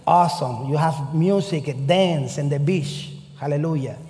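Slow Cuban-accented pastor preaching, mellow voice. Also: male voice, on the high side, big-room sound. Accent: Cuban Spanish. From Cuba. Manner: deliberate, emphatic preaching with slow drawn-out phrases. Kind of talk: sermon.

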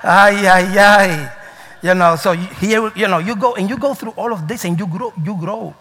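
A man preaching a sermon as pastor, animated and storytelling, with nonstandard English grammar. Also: high-pitched, moderate pace. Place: church sanctuary. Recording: reverberant church hall.

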